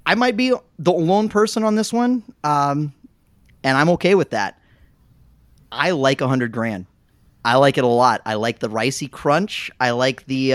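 A man chatting casually on a podcast panel, his voice sitting at a middle pitch. He tells stories with animated bursts. The recording is close and dry, with no room sound. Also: no echo.

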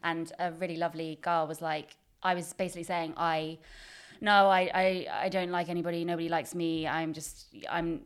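A woman's voice: little pitch movement, normal speed, smooth. A woman in her thirties, chatting casually as a podcast co-host, talkative and blunt, with lots of fillers. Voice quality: high-pitched and squeaky by her own account. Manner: chatty, swearing, frequent laughs and "like". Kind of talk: casual chat.

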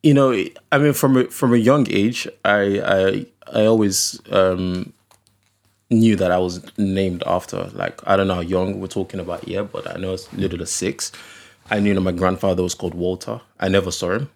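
Deep-voiced man from Nigeria, relaxed. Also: normal speed, creaky. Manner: relaxed, hesitant with fillers, laughs easily. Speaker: podcast guest. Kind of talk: casual conversation.

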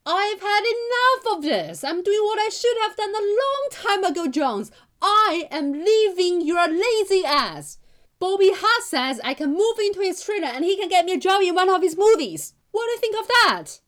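Woman playing shrill-pitched furious girlfriend Caramel. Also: very animated, moderate pace, fairly bright tone, full character voice. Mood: furious, scornful. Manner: scolding, indignant, emphatic. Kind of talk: comic radio play acting.

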